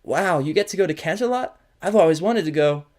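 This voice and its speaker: A man's voice: on the high side, animated, a little fast, darkish tone, voice pushed up, lightly acted. A man voicing a sweet, clumsy female pegasus pony for an audio drama, bubbly, apologetic and eager. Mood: awed.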